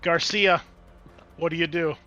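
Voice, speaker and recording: A man narrating and refereeing a tabletop roleplaying game as game master, in an informal, excitable way. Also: on the high side, measured pace, muffled recording, music in the background.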